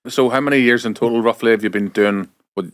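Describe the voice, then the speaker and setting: A man's voice: mid-range voice, steady tone, fast talker. A man hosting a podcast, asking questions that he often restarts as he goes. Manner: stumbles and restarts his questions. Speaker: podcast host. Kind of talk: podcast interviewing and ad read.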